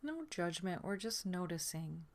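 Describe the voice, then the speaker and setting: A woman leading a guided sleep meditation in a gentle, soothing manner, her soft voice often trailing into a breathy near-whisper. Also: lowish voice, very animated. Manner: soothing, gentle, unhurried with long pauses.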